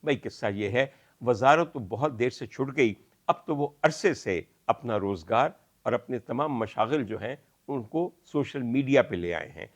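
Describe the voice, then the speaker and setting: Pakistani guy doing opinion vlog, medium pitch, earnest and kinda lecturing, formal rhetorical phrasing. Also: expressive, normal speed. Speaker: commentary vlogger. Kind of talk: opinion commentary addressed to camera.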